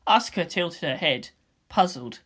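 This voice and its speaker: A woman's voice: on the low side, animated, a little slow, fairly bright tone. A woman reading scripted fiction as its narrator.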